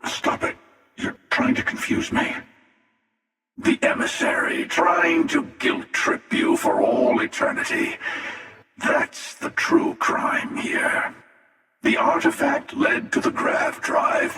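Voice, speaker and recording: Slow, gravelly, sardonic ancient hunter. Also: male voice, very high voice, wildly swooping pitch, bright, forceful tone, distant mic, heavily acted. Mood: cold, sardonic. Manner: drawling, wry, taunting, theatrical. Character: ancient, sardonic, menacing male starfaring hunter from many lives. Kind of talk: scripted video game character dialogue. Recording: cavernous reverb, voice set back from mic.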